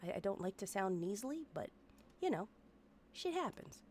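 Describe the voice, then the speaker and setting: Bubbly congested woman streamer, lively pitch. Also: moderate pace, faint music behind. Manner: bubbly, playful, chatty with viewers. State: nasal congestion, sniffly. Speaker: VTuber game streamer. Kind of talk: casual game streaming chat.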